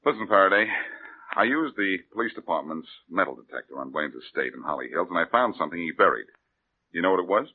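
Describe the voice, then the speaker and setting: A wisecracking radio detective. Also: male voice, fast talker, a little hiss or hum. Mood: cocky. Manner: breezy, joking, lively pitch. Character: wisecracking male detective, cool and cocky. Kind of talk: 1940s radio acting. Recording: narrow phone-like old radio broadcast.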